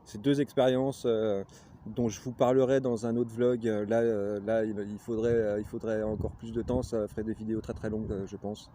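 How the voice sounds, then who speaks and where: A man's voice: fairly low pitch, little pitch movement, moderate pace, warm tone. Lorraine-accented man talking on a walk. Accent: Lorraine French. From Lorraine, France. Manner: rambling, frequent hesitations. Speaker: glassblower vlogger. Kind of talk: casual talk to camera. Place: outdoors on a countryside walk. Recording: outdoor noise with passing vehicles.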